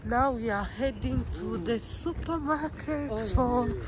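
A young woman from Rwanda with a flu-hoarse voice, chatting casually to her viewers as a travel vlogger, filming herself on a phone at arm's length outdoors and in a minibus. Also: a little high, unhurried. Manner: chatty, addresses viewers as guys. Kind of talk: casual vlog narration. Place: outdoors by the road and in a moving minibus. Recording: loud vehicle and street noise.

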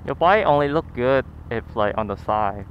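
A man's voice: medium pitch, animated, normal speed. A man riding along at night, teasing his friend bluntly in slangy short replies. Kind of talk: casual chat while riding. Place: outdoors on a motorcycle on city streets at night. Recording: muffled intercom line with loud road noise.

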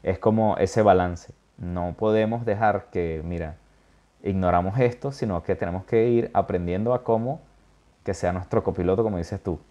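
A man with a very low voice hosts a relaxed interview, thinking aloud as he goes, with pauses, fillers and frequent check-ins to his guest. The sound is dull and lo-fi. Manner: thoughtful, hesitant, many fillers and check-ins. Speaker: interview host. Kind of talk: casual interview chat.

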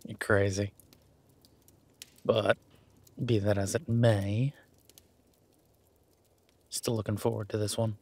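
A man playing an affectionate, easygoing werewolf boyfriend in an intimate roleplay audio, with a husky, breathy voice and a soft, teasing manner. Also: on the low side, no room sound. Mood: playfully teasing, then tender, excited. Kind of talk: intimate boyfriend roleplay audio, casual one-sided chat.

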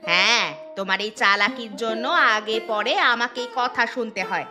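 A woman's voice: fairly high pitch, steady tone, moderate pace, soft background music. A woman voicing a jealous, spiteful village wife who grumbles sarcastically in a fairy-tale cartoon. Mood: grumbling. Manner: sharp-tongued, sarcastic, complaining.

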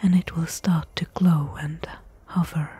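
A woman playing a cautious adventurer in a soft-spoken ASMR roleplay, breathy, hushed and hesitant, speaking intimately. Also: deep voice, fairly even intonation, unhurried, full character voice. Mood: nervous, gentle. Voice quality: breathy, whispery. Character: cautious female adventurer exploring an ancient desert temple.

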